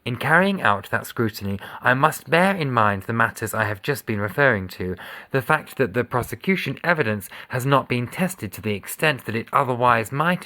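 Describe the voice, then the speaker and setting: A man giving a sober, measured, formal reading of a scripted legal document. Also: medium pitch, bright, forceful tone. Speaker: voice reader of a court judgment.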